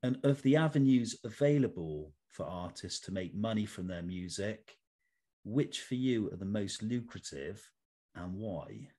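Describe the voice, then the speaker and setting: Guy hosting an interview, kinda low voice, formal wordy questions, measured. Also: animated, dark tone. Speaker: interviewer, host.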